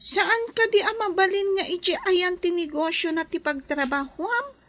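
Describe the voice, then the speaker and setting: A woman's voice: very high voice, moderate pace, a bit bright. A woman playing a young daughter who pleads and questions her mother in a radio drama. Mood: curious, pleading.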